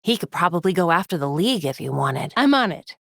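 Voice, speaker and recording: An actress playing a young, determined vigilante superheroine in a video game, with an American accent, caring and dryly witty in an earnest, conversational way. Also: female voice, on the low side, big pitch swings, fast talker, creaky, dry recording, clean studio sound, heavily acted. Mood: caring, businesslike. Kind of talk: scripted game voice lines.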